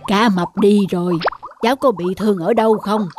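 A woman's voice: mid-range voice, moderate pace, lightly acted. A woman voicing a kindly, protective older squid who reassures and instructs in a children's cartoon. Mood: caring, advising. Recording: music behind the voice.

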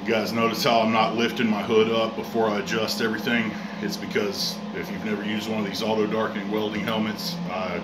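A relaxed man talks through a welding job in a plain, unhurried way, dropping in "uh" as he goes. His low, fairly flat voice bounces off the bare walls of a garage. The camera mic sits a few feet away and picks up a loud hum behind him. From Texas. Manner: relaxed, plainspoken, lots of "uh" fillers. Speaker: welding hobbyist vlogger. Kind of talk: casual workshop narration. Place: home garage workshop. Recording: echoey garage with loud background noise.

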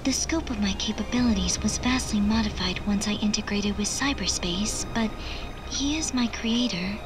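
A woman voicing a calm, cryptic AI girl. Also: mid-range voice, close to an everyday voice. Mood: detached. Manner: measured, precise, detached. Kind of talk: video game cutscene dialogue. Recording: game audio with music underneath.

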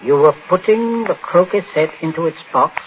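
A man's voice: a little high, expressive, moderate pace, dull audio, natural voice. Guy playing bossy upper class british dad in a 40s radio play, snappy and clipped, slightly noisy old recording. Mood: grim interrogation. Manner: commanding, clipped, interrogating. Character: domineering, irascible upper-class father and judge. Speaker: radio actor. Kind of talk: 1940s radio drama acting.

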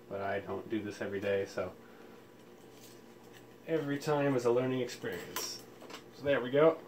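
A man hosting a casual how-to demonstration, explaining things informally, step by step. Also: fairly low pitch. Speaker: tutorial host. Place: indoors, room with audio equipment. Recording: clear background room noise.

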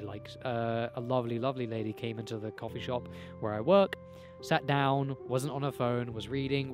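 Candid young man vlogging outdoors. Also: medium pitch. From England. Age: young adult. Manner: candid, rambling, swears and laughs often. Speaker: self-improvement vlogger. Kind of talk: casual talk to camera. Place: outdoors in a green field by a hedge. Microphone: handheld camera mic, close.

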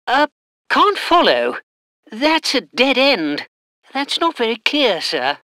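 High, bright British train soldier. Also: female voice, wildly swooping pitch, slow pace, dry recording, studio quality, full character voice. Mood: doubtful. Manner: clipped military reporting. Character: eager, dutiful British army train crew soldier. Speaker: game voice actor. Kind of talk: military strategy game unit voice lines.

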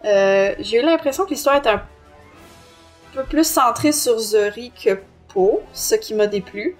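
Higher-pitched Québécois woman, casual. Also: animated, measured pace, faint music behind. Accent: Québécois French. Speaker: podcast co-host and book reviewer. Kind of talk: casual book review chat.

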